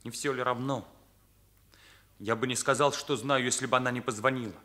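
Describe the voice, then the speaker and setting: A man plays an earnest, morally rigid teenage boy with a bright, forceful edge to his voice. He sits at a middle pitch and speaks emphatically, in the manner of Soviet radio drama. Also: steady tone, a little fast, close to an everyday voice. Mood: bitter, accusing. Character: earnest, indignant teenage son confronting his parents. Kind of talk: Soviet radio play acting.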